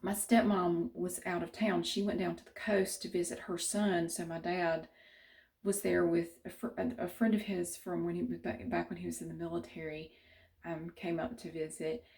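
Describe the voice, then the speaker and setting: A woman's voice: fairly low pitch, level tone, normal speed, a little mellow. A middle-aged woman from central North Carolina with a Southern accent, chatting casually to camera with many restarts. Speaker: vlogger.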